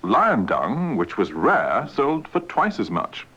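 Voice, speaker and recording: Slow, formal male newsreader. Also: mid-range voice, wildly swooping pitch, fairly bright tone, slightly rough. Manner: measured, formal news-reading delivery. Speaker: radio newsreader. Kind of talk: reading a news item. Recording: dull, slightly noisy old radio recording.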